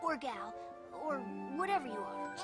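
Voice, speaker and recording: Little fox boy genius, game cutscene, high earnest voice, super lively, music under it. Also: moderate pace. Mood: focused, curious. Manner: earnest, boyish. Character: young, gentle, brainy male fox sidekick inventor. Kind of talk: video game cutscene acting.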